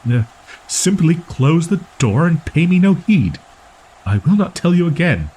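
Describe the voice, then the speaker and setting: A husky young wolf-boy, acted by a man for an audio roleplay. He speaks in haughty, old-fashioned phrases and insists on his pride. Defensive stammers show how easily flustered he is. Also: on the high side, sing-song, measured pace, dark tone, heavily acted, low-quality recording. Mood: exasperated, flustered. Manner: haughty, stilted archaic diction, defensive stammering. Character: proud, flustered tsundere young wolf-boy with archaic speech. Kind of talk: roleplay audio drama monologue addressed to listener.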